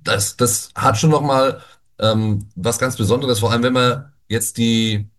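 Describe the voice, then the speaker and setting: A man hosting a radio interview, asking relaxed, chatty questions with plenty of fillers. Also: mid-range voice, a little slow. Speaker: radio show host.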